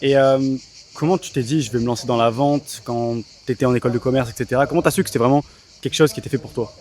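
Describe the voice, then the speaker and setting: A man's voice: medium pitch, steady tone, fast, a little mellow. A young man conducting a casual interview with informal, slangy phrasing.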